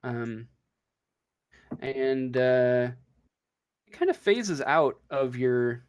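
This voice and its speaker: A man running a tabletop game as game master, improvising the narration haltingly as he thinks aloud. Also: mid-range voice, animated, clean tone.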